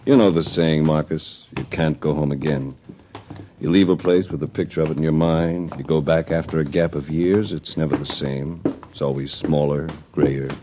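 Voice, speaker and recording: A hard-boiled adventurer-investigator in an old radio drama. His voice is low and his delivery measured, cool and wry. The vintage recording carries clear background noise. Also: fairly even intonation. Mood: wistful, somber. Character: cultured, cool-headed male adventurer-investigator narrating his case. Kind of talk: 1940s radio detective narration.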